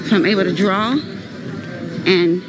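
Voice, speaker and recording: A woman in her thirties filming a vlog with a handheld camera, explaining slowly and deliberately as she walks through a shopping mall. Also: mid-range voice, steady tone, over music, a crowd in the background, low-quality recording. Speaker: vlogger. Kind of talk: vlog walkthrough. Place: car, then large indoor shopping mall. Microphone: handheld camera at arm's length.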